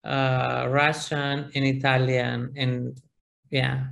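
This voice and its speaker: A man whose first language is Macedonian speaks in English during an online class discussion, pausing often and searching for words. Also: mid-range voice, little pitch movement, vocal fry, a bit bright. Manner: hesitant, searching for words, many fillers.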